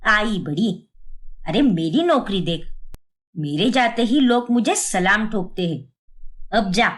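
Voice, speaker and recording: A woman's voice: medium pitch, animated, slow. Woman voicing a haughty, bossy older mother-in-law. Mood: scornful. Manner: sneering, bossy, boastful. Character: haughty, taunting middle-aged mother-in-law with government job.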